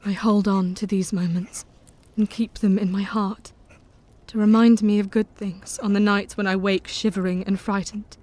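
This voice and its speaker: A woman playing a genteel Victorian mother, soft-spoken and tender, in an audio drama. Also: mid-range voice, flat delivery, normal speed, lightly acted. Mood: wistful.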